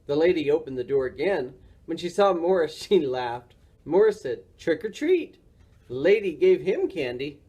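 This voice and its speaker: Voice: mid-range voice, lively intonation, normal speed, dark tone, husky. A reader narrating a children's storybook for a library story time.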